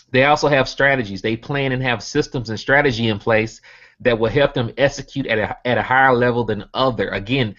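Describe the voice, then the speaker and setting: A man's voice: mid-range voice, lo-fi sound. A man from Birmingham, Alabama, with a Southern accent, giving an online motivational business presentation, stacking rhetorical questions and repeating his key points. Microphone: computer mic on a video hangout.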